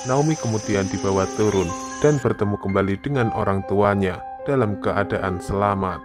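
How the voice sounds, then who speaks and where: A man with a low voice narrates a scripted horror story. Clear background music plays under his voice. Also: normal speed.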